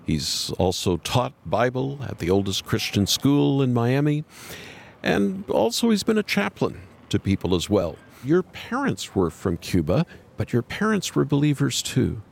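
A man hosting a ministry podcast, introducing his guest with an expressive, preacher-like delivery. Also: medium pitch, measured pace, gravelly, husky. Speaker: podcast host. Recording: light guitar music underneath. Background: soft guitar music.

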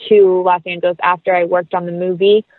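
A woman's voice: fairly low pitch, flat delivery, a little fast, no echo. Effusive American woman, slightly dull dry recording. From North Carolina, United States. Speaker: guest actress. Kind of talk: casual interview conversation.

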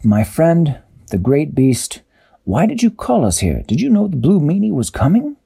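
A man's voice: on the high side, huge pitch swings, moderate pace, creaky, warm tone, breathy edge, pitched above the performer's own voice, heavily acted. A man doing a gracious old sea captain. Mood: grateful.

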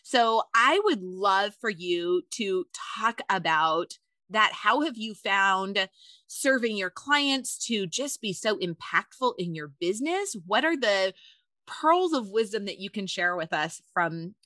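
A woman hosting a podcast, chatty and quick to laugh, telling stories with quoted asides. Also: mid-range voice, lively intonation, sharp tone, dry recording. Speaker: podcast host. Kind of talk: casual podcast interview.

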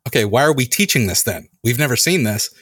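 A man hosting a casual conversation, telling animated stories and acting out the lines people said. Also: medium pitch, quick pace, breathy edge, no echo. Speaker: podcast host.

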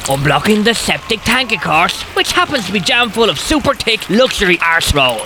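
An actor playing a resentful, crude college janitor who grumbles and fawns in an audio comedy. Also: male voice, high-pitched, very animated, moderate pace, noisy background. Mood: bitter. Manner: grumbling, obsequious to superiors. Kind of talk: audio comedy drama acting.

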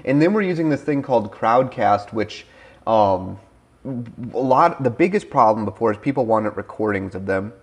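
A man co-hosting a podcast, talking casually with lots of fillers and quick laughs over a remote call. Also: mid-range voice, expressive, breathy edge. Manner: fast, filler-heavy, laughing easily. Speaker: co-host. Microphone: remote call mic.

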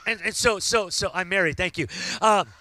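High bright-voiced preaching man in his fifties. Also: moderate pace. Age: 50s. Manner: preaching with rising shouts and call-outs to the crowd. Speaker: pastor. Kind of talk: sermon.

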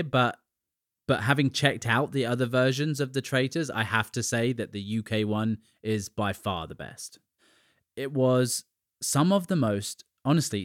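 A man's voice: medium pitch, no echo, studio quality. A man from the UK hosting a podcast, talking casually with chatty asides and little jokes. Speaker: podcast host. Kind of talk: casual solo podcast monologue.

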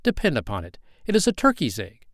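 A man's voice: mid-range voice, huge pitch swings, brisk, no echo, clean studio sound, pitched above the performer's own voice. A man voicing a know-it-all old female duck in a storybook reading, bossy and opinionated.

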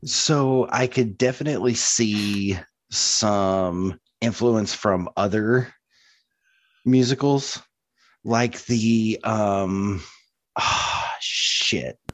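A man's voice: fairly low pitch, extremely slow, no echo. A man co-hosting a podcast, chatting casually about media. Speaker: podcast co-host.

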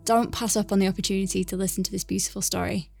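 A woman's voice: medium pitch, steady tone, very fast, creaky, a little mellow, faint music behind. A woman hosting a podcast, giving a scripted introduction that recommends a show to listeners. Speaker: podcast host.

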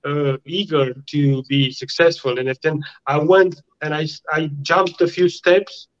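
Romanian-accented man, halting, slightly muffled. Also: fairly high pitch, steady tone. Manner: halting, repeats words while searching for phrasing. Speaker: guest. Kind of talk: casual interview conversation.

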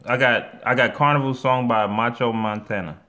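A man's voice: mid-range voice, normal speed, background noise. A man from New York hosting a casual podcast, joking and bantering with his guests. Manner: playful, animated banter with lots of fillers. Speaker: podcast host. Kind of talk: casual chat.

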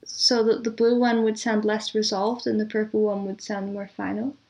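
A woman answering as an interview guest, reflective and explaining things in careful detail with pauses. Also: mid-range voice, little pitch movement, a little mellow. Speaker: interview guest, composer and audio drama producer.

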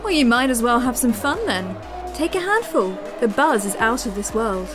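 A woman's voice: fairly high pitch, sing-song, normal speed, over music. A woman playing a drawling decadent corporate president. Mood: gushing. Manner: theatrical, drawling, calls everyone darling. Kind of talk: audio drama acting.

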